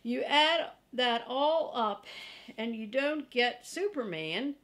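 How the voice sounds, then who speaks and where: A woman's voice: fairly high pitch, animated, slow, fairly bright tone. A woman reading a storybook as a boastful, grumbling male guard dog, speaking in a folksy, emphatic manner. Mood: proud, folksy.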